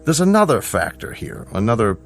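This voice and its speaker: An actor playing a measured, grave older scientist in a radio drama, speaking precisely and formally with a fatherly authority. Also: medium pitch, wildly swooping pitch, faint music behind. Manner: precise, deliberate, formal, explanatory. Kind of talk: radio drama acting, deliberate dialogue.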